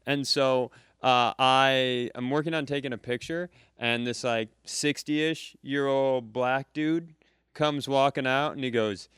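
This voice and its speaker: A man's voice: medium pitch, fairly even intonation, slow pace, fairly bright tone, clear, resonant tone, dry recording. A man co-hosting a casual comedy podcast, telling a long, dry, rambling story full of self-corrections, recorded live at a comedy club. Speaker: podcast co-host.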